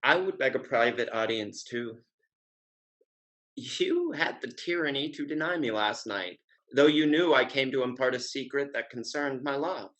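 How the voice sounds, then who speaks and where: Guy playing a lovesick gentleman in an old stage comedy, medium pitch, wry and earnest, very formal. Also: animated, moderate pace, lightly acted. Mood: earnest, pleading. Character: witty, lovesick gentleman suitor, earnest and sardonic. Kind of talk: Restoration stage comedy acting.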